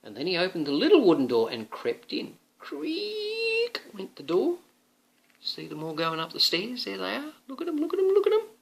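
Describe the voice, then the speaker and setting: A man reading a picture book to his young son, expressive, with coaxing asides to the child. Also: high-pitched, moderate pace, vocal fry, low-quality recording. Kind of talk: animated storybook read-aloud.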